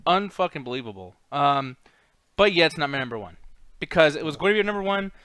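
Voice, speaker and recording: Clear, higher-pitched man, casually ranting. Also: very animated, fast talker, bright, forceful tone, dry recording. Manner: blunt, opinionated. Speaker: co-host of a video game movie chat show. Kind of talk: casual ranking chat, heavy swearing.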